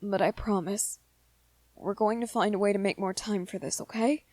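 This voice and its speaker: A woman voicing a gentle, lovestruck young vampire man in a romantic ASMR roleplay, earnest and hesitant, with small stammers. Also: mid-range voice, steady tone, normal speed, no room sound, lightly acted. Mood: earnest, reassuring.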